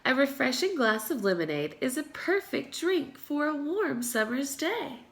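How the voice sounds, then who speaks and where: A woman's voice: sits high, sing-song, unhurried, a bit bright, slight background noise, pitched above the performer's own voice, exaggerated voice. A woman voicing an eager, naive male farmer in a children's storybook, with an enthusiastic, exclaiming delivery. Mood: pleased, confident.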